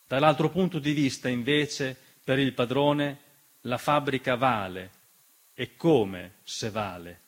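A man performing a theatrical narrative monologue, measured and speaking directly to the listener with long pauses. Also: medium pitch, a bit bright. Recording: piano music behind the voice. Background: piano accompaniment.

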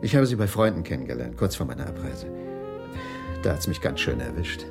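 An actor playing a troubled, evasive husband in a German radio crime drama, speaking hesitantly. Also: male voice, low-pitched, very animated, a little fast, creaky, exaggerated voice. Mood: evasive, nervous. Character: troubled, evasive husband, a dining-car waiter. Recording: music under the voice.

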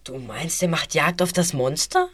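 A woman's voice: deep voice, moderate pace, a bit bright, husky, dry recording. A woman playing an alert, curious boy detective in an audio drama, with an inquisitive, musing manner. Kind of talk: amateur audio drama acting. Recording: noisy, with crow caws and clicks.

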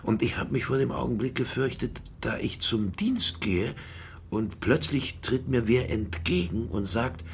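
An actor playing a bitter, insecure middle-aged former general, a wounded son, in a naturalistic radio drama. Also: male voice, medium pitch, expressive, unhurried, slight background noise. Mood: brooding, confessional.

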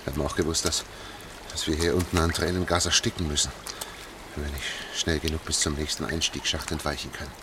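An actor playing a sober, middle-aged man guiding an escape, measured, hushed and methodical in a German radio play. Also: rumbling low, level tone. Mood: tense, controlled. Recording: clear noise, trickling water.

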